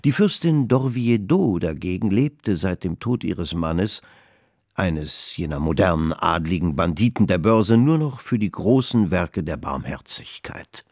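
A man narrating a historical radio drama in measured literary prose. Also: low-pitched, animated, a little mellow, no echo, studio quality, full character voice. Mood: measured narration. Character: measured male narrator of a 19th-century finance saga.

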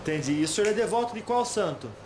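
A Brazilian man with a fairly high voice conducts a casual interview. He is respectful and deferential, often repeating what he is told. The sound is echoey, with clear noise in the recording. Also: lively intonation, quick pace. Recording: roomy, echoing, clearly noisy amateur recording.

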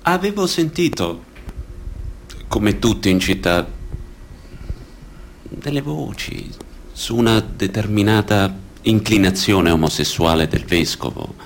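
A somber townsman giving testimony, really slow and halting, bit of background noise. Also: lowish voice, animated, creaky, heavily acted. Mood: somber, hesitant. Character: somber townsman witness recounting rumours. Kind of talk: theatrical testimony monologue.

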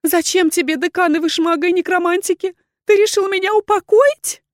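A woman's voice: high voice, very animated, fairly quick, no room sound, studio quality, voice pushed up. A woman voicing a desperate, tearful wyvern woman, a spurned mistress who pleads in breathless, sobbing exclamations. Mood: tearful pleading.

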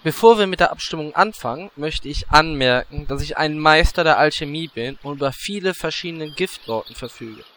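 A man's voice: on the high side, lively intonation, a little fast, hardly any pauses, a bit bright. A man playing a cheeky, lewd, scheming little gnome in a comic audio drama, brash and impudent.

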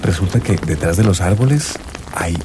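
A man's voice: low-pitched, lively intonation, normal speed, background noise. An actor playing an earnest young man in love, searching and telling his story in a radio drama. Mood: wistful, earnest.

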